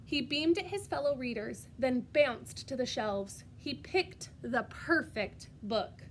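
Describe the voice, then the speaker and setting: A woman with a fairly high voice reads a picture book to small children. Her pace is very unhurried and her delivery is expressive and sing-song, as a preschool teacher talks. There is some light noise behind her. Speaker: preschool teacher reading aloud. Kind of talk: animated storybook read-aloud with questions to young children.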